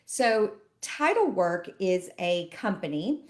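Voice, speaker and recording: A woman's voice: lowish voice, lively intonation, slow pace, a little mellow. A middle-aged woman, a loan officer chatting to camera and explaining things step by step, a bit sniffly from allergies. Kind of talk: casual educational talk to camera.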